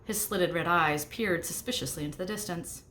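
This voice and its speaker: A woman's voice: lowish voice, moderate pace, sharp tone, a little hiss or hum. A woman narrating a middle grade novel aloud, expressively and with a snarky first-person manner. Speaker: narrator reading a book aloud.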